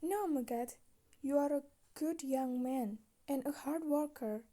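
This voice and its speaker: A woman voicing a gentle, self-doubting young princess in a children's puppet-show story. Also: high voice, unhurried, voice pushed up. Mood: kind, concerned.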